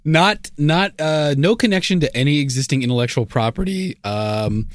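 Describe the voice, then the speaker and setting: A man co-hosting a podcast, cracking deadpan jokes in a dry, laid-back way. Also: on the high side, normal speed, no echo. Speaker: podcast co-host. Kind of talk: casual comedic chat.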